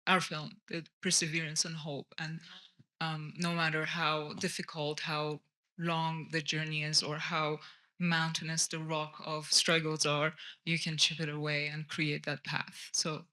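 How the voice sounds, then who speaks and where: A woman from Iran speaks softly on a festival panel. Her voice is smooth and clear, held to a narrow, even pitch, and she pauses often with hesitant fillers. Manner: soft-spoken, hesitant, many ums. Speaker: documentary director on a festival panel. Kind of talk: panel talk.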